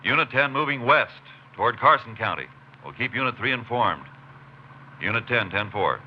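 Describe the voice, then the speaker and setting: A man's voice: medium pitch, lively intonation, normal speed, lightly acted. An actor playing a terse, determined Texas Ranger lawman in a 1950s radio western. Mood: brisk, official. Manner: terse, matter-of-fact. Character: steady, determined Texas Ranger tracking a killer. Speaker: lead actor and narrator. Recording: noisy muffled 1950s radio recording.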